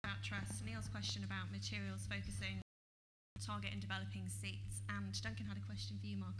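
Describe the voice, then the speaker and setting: A woman chairing a conference discussion, briskly passing the floor to speakers. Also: medium pitch, monotone, noisy background. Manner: brisk, organizing. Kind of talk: moderating a discussion.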